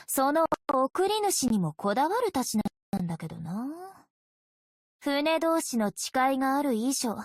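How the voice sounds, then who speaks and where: A woman's voice: high voice, no room sound, studio quality. A woman voicing a coy, playful young female vampire in an anime-style game, with casually threatening undertones. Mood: cool, teasing. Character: teasing, sinister young female vampire, sweetly menacing. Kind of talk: game character voice lines in Japanese anime acting.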